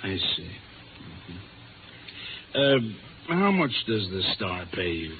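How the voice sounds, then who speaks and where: A condescending gangland boss from a fifties radio play. His low voice stays courteous and measured, with menace underneath. The sound is a thin, narrow-band old broadcast with clear noise. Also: sing-song. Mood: smug, cool. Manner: polite, measured, veiled threats. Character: smug, menacing male crime boss, smooth and condescending. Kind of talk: 1950s radio drama acting.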